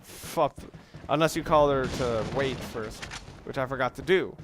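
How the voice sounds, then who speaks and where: A man's voice: mid-range voice, a little slow. A young man giving casual, rambling commentary over a video game, correcting himself and swearing when caught off guard. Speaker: let's play commentator. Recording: game sounds and noise behind voice.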